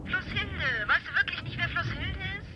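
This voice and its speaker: A woman's voice: very high voice, normal speed, noisy background. An actress playing an eager, chatty young female student singer in a radio play phone call. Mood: excited, teasing. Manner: eager, animated. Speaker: student and mezzo-soprano singer.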